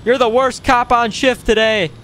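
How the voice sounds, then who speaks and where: A man hosting a gaming video with casual, playful roleplay commentary, excitable and given to exclaiming. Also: very high voice, moderate pace. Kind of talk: casual improvised roleplay commentary over gameplay.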